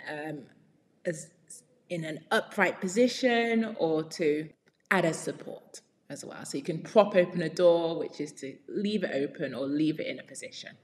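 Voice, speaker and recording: A woman's voice: fairly low pitch, a little mellow. Woman reading aloud in a very echoey room. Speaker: read-along storyteller and English teacher. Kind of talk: storybook read-aloud with word explanations. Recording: very reverberant room.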